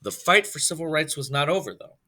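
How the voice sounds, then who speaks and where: A man reading a children's biography aloud from a script, phrase by phrase, in a deliberate way. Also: fairly high pitch, expressive, sharp tone. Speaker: reader, storyteller.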